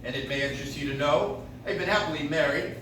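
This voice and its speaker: An actor playing a nervous, pompous, irritable personnel examiner in live 1940s-style radio acting, curt and defensive. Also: male voice, a little high, fast talker, close to an everyday voice. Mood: irritated, defensive. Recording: echoey distant audience recording, clear noise.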